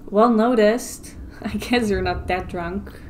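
A woman's voice: medium pitch, takes it slowly, darkish tone, a little hiss or hum, natural voice. An actress playing a hurt but determined young woman in an audio drama, confiding and emotional. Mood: guarded. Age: young adult.

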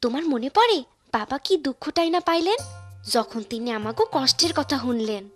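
A woman's voice: high voice, big pitch swings, a little fast, over music. A woman voicing a spirited, kind-hearted young village girl in a cartoon, speaking earnestly with a rural Bangladeshi accent. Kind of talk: cartoon dubbing, expressive storytelling.

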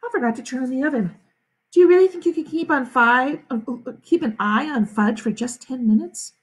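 A woman's voice: sits high, fairly quick, husky, voice pushed up, low-quality recording. A woman voicing a harried, coaxing mother in a children's storybook. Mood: hurried.

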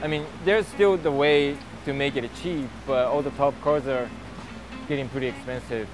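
A man in his thirties, a professional drift driver, giving a relaxed and halting outdoor interview on a lapel mic at a racetrack paddock. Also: medium pitch, over loud music. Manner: relaxed, halting, many fillers. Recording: noisy outdoor racetrack recording.

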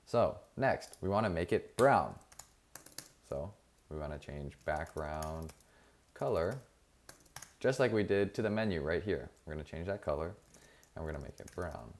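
A man narrating a screen-recorded coding tutorial in a casual, relaxed way, with frequent fillers and self-corrections. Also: low voice, moderate pace, long pauses, slight background noise. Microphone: voice-over over screen recording.

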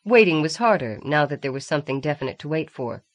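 A woman's voice: low-pitched, lively intonation, fast, dull audio. A woman reading a novel aloud as scripted audiobook narration.

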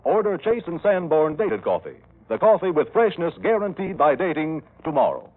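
A man's voice: fairly high pitch, very animated, moderate pace. A man with an American accent reading an advertisement as a radio announcer in an emphatic, salesmanlike 1930s style. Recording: tinny old 1930s radio broadcast.

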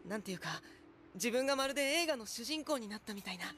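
Quick-talking polite anime boy. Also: extremely high pitch, animated, a little hiss or hum, heavily acted. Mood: earnest. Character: polite, earnest, soft-spoken teenage boy. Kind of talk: anime dialogue. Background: loud music.

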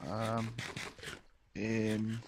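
A man's voice: lowish voice, completely flat delivery, drawn-out words, heavy background noise. A young man chatting casually while playing a game with a friend, laughing often and reacting with surprise. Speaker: gamer co-host. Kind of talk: casual let's-play chat.

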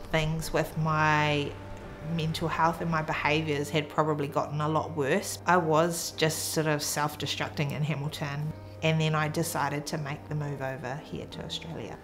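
A woman in her twenties with a New Zealand accent, reflecting quietly in a documentary interview. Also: low-pitched, level tone, measured pace. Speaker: athlete. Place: indoor room with framed photos. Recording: music underneath.

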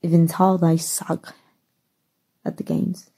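A woman from Mexico playing a shy, flustered, nerdy gamer superheroine in an ASMR roleplay, soft and halting, with stammers. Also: on the low side, flat delivery. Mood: sheepish. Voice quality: breathy, soft.

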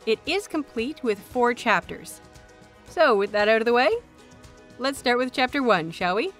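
A woman hosting and narrating audio fiction in an expressive audiobook style. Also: fairly high pitch, moderate pace, soft background music. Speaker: host and narrator of an audio fanfiction show.

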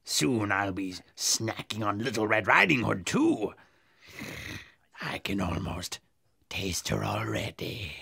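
A very deep, gravelly storybook wolf, acted by a woman, sly and greedy. The speech is drawn out very slowly, with a gloating, theatrical relish. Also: sing-song, a bit bright, no room sound, heavily acted. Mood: greedy anticipation. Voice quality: raspy, gravelly. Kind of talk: children's storybook audio acting.

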